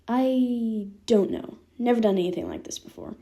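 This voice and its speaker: A woman's voice: on the high side, very animated, normal speed, gravelly, warm tone. A woman voicing a candid, irreverent teenage boy with ghostly powers in a fan fiction reading. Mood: honest, unsure.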